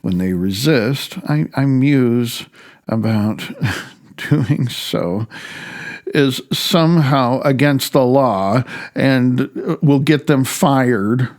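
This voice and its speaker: A man from the United States reading his own self-help audiobook in a wry, deliberate way with pauses. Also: mid-range voice, a little mellow. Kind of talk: self-help audiobook narration with personal commentary. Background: faint music.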